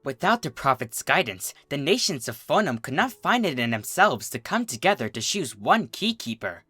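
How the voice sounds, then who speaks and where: Male narrator, bright and expressive, for theatrical fantasy storytelling with deliberate delivery. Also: a little high, breathy edge, dry recording, faint music behind. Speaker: fan fiction reader, narrator. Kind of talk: dramatic scripted story narration.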